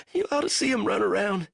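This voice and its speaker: Casting note: a man playing a wheezing, giggly, half-starved prisoner, high and breathy, flat dry sound. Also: moderate pace, no echo, heavily acted. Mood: delirious. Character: delirious starved male prisoner of war, giggly.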